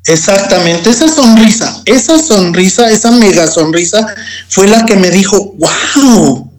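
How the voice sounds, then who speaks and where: Slow, halting Mexican man. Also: high-pitched, lively intonation. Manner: slow, halting, repeats words. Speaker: interview guest. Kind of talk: personal testimony.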